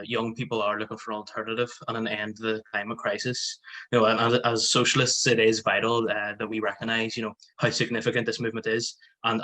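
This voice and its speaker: Halting low-voiced man. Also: pushed and bright, poor audio. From Northern Ireland. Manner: halting, many repeated words and fillers. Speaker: guest speaker. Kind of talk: spoken report at an online meeting. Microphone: home computer mic on a video call.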